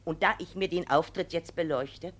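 A woman's voice: fairly low pitch, normal speed, natural voice. An actress playing an indignant, righteous middle-aged village mother in a 1950s radio play, declaiming emphatically. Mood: indignant, dramatic. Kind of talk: 1950s German radio drama acting.

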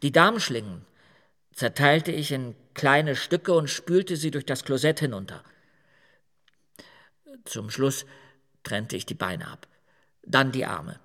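Boastful killer in a reverberant hall. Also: male voice, mid-range voice, animated, slow pace, pauses often, bright, forceful tone, full character voice. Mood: cold, confessional. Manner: matter-of-fact, self-important. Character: boastful, unrepentant male serial killer on trial. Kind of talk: radio play acting.

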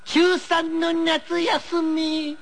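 A man's voice: very high-pitched, little pitch movement, extremely slow, gravelly, a bit bright. A man co-hosting a radio show in casual comic banter, with blunt, teasing retorts.

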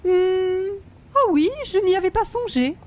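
A woman's voice: very high voice, measured pace, a little mellow. An actress playing a haughty, theatrical society lady in a radio comedy, grand and affected. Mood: won over. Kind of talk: old-time radio comedy acting.

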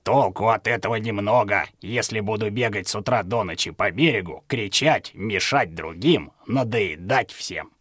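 Old lazy drake husband in a kids audio tale, grumbly n pompous, lowish forceful voice, dry studio. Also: male voice, moderate pace, hardly any pauses, husky, no echo. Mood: offended, self-justifying. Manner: pompous, self-justifying, sententious grumbling. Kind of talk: audio storybook character acting.

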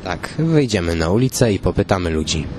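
A man co-hosting a comedy podcast, talking in a mock-serious, bantering and somewhat halting way. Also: sits low, big pitch swings, darkish tone, a little hiss or hum. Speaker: comedy podcast co-host and street interviewer.

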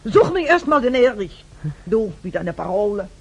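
A woman's voice: medium pitch, very animated, moderate pace, gravelly, husky, full character voice. An actress playing an anxious, scornful working-class mother, exclaiming in agitation in a radio drama. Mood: agitated, scornful. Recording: noisy old radio drama recording.

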